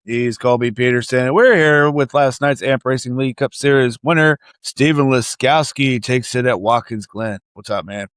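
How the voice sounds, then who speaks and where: A man hosts a casual sports talk show with folksy, teasing banter. His voice sits at an average pitch and is recorded dry, without room echo. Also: moderate pace, hardly any pauses, a bit bright, clean tone. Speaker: podcast co-host.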